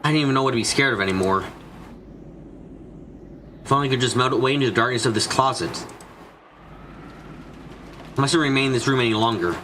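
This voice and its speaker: A man's voice: medium pitch, brisk, long pauses, bright, forceful tone, a little hiss or hum. A man reading dramatic scripted narration for a visual novel fan dub, now and then stumbling over the lines. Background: background music.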